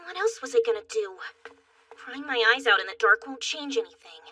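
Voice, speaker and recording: A woman voicing a wounded, fiery young girl in an emotional animated drama, with a raw, expressive delivery. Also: a little high, a little fast, slightly rough, far from the mic, full character voice. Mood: angry.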